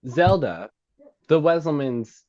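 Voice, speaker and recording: On a somewhat dull recording, a man reads scene directions from a script. He goes slowly and haltingly, with very varied intonation in a mid-range voice. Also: a little high, expressive, darkish tone. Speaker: reader of stage directions. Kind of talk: scripted narration, present-tense screenplay directions.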